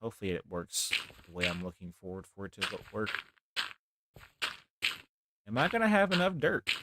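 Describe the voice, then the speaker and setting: A young man with a deep voice, rambling softly through casual live gameplay commentary as a streamer. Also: very animated, moderate pace, very noisy. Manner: rambling, talks softly, near whispering.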